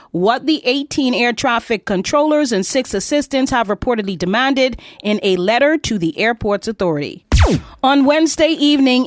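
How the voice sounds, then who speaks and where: Woman, news anchor, higher-pitched voice, scripted delivery, close dry recording. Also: no room sound.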